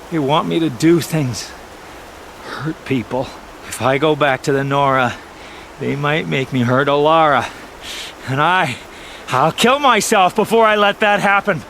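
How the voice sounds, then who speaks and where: High-voiced, tormented, halting outcast. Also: male voice, creaky, a bit bright, a little hiss or hum, heavily acted. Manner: halting, repeating, stammering. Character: tormented outcast man hearing spirit voices, fragile, desperate. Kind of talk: video game voice acting. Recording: music under the voice.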